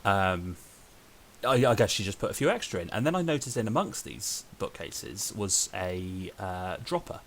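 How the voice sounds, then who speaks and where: Low-voiced Welshman telling a story. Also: big pitch swings, normal speed, a bit bright, slight background noise. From Wales. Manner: rambling storyteller, frequent ums. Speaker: podcast host. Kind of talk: casual podcast chat.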